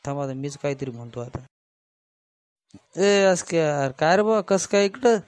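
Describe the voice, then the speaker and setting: A man's voice: a little high, lively intonation, normal speed, darkish tone, smooth, no echo, close to an everyday voice. A man voicing a nosy, meddling cartoon villager, chatty and pushy, with a Varhadi Marathi accent. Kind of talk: comic cartoon dialogue.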